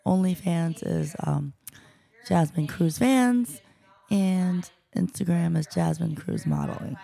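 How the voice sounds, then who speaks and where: An American woman talks easily as a podcast guest and giggles between answers. Her voice is lowish and gravelly with plenty of fry. She slows down when she spells things out. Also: animated, mellow. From United States. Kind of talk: casual interview chat.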